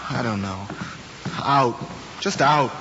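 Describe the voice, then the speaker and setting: A man's voice: mid-range voice, slow, highs cut off, close to an everyday voice. An actor with an American accent playing a troubled, guilt-ridden teenage boy, earnest and hesitant, in a hissy old television drama. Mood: defensive. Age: young adult. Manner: earnest, hesitant, clipped replies. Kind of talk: mid-century American television drama acting.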